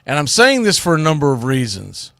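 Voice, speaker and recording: Informal male sports talk host, slightly higher pitch. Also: huge pitch swings, fairly quick, gravelly, fairly bright tone, dry recording, clean studio sound. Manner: informal, opinionated. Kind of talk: solo sports talk commentary.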